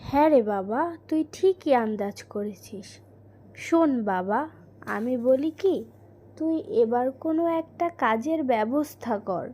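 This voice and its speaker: A woman voicing a caring, worried housewife and mother in a cartoon, with a gentle, coaxing manner. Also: on the high side, animated, a little slow, muffled recording. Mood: gentle urging. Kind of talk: cartoon voice acting.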